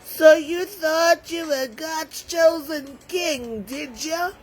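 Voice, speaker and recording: A woman's voice: high-pitched, slow, faint music behind, pitched above the performer's own voice, poor audio. A woman storyteller voicing a mocking, scornful heckler from a jeering crowd with a taunting delivery.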